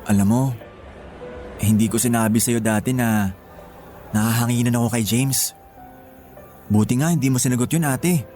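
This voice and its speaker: A man's voice: medium pitch, normal speed, pauses often, dark tone, natural voice. A man acting in a Filipino radio drama as a grateful, protective younger brother with an earnest delivery. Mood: grave. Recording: music behind the voice.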